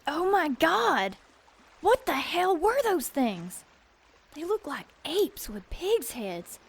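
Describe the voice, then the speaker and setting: An actress playing a plucky, anxious, determined woman, narrating an audio drama in an urgent, expressive way. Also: sits high, slow, a little hiss or hum, exaggerated voice. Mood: shocked.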